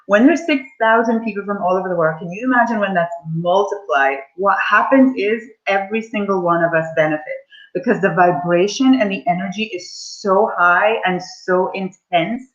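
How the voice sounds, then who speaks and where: A woman's voice: medium pitch, a bit bright, dull audio. A woman in her forties, a money mindset coach, leading a live online coaching session and speaking to her audience in an upbeat, encouraging way. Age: 40s.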